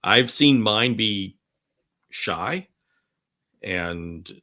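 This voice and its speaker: A man hosting a live question-and-answer stream, talking enthusiastically and rambling with many restarts, his voice going hoarse. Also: lowish voice, animated, drawn-out words, pushed and bright. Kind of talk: live Q&A chat.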